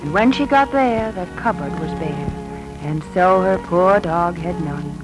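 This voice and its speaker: Actress as anxious, tender 1940s radio wife. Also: female voice, lowish voice, a little slow, close to an everyday voice, low-quality recording. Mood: storytelling. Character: anxious, tender young wife and adoptive mother. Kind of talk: 1940s radio drama acting. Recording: old 1940s radio recording with music.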